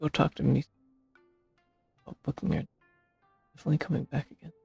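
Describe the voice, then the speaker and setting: A man with a gravelly, husky voice giving casual gameplay commentary. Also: a little high, level tone, quick pace, mellow, dry recording, dull audio, faint music behind. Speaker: gamer playing and reacting.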